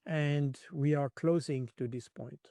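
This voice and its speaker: A man's voice: on the high side, steady tone, slow pace, creaky, dark tone, dry recording. A man from Switzerland giving spontaneous political analysis as an interview guest. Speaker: interview guest, former military intelligence analyst.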